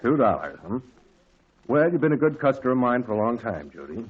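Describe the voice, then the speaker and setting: Folksy old caterer. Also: male voice, medium pitch, big pitch swings, a little fast, husky. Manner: folksy, drawling, bargaining. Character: kindly older male shopkeeper and caterer, folksy. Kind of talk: 1940s radio acting. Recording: dull lo-fi old radio recording.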